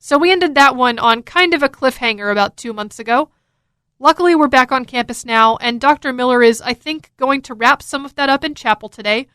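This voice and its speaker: A young woman with an American accent hosts radio in a high, bright voice. She chats casually and with plenty of enthusiasm. The sound is dry and close, straight from a studio microphone. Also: a little fast, clean tone, no echo. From Florida, United States. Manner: enthusiastic, chatty. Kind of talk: upbeat casual radio DJ talk.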